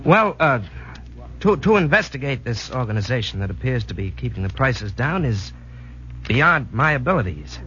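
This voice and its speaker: An actor playing an earnest, jittery young American soldier in 1940s radio drama style, excitable and prone to stammer. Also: male voice, medium pitch, normal speed. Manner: excitable, emphatic, stammering when nervous. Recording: dull, noisy vintage radio recording.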